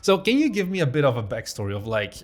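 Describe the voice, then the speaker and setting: A man hosting a casual interview at an ordinary middle pitch. He teases his guest and jokes. His questions are full of fillers. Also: very animated, fast talker. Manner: teasing, joking, filler-heavy questions. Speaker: host, interviewer.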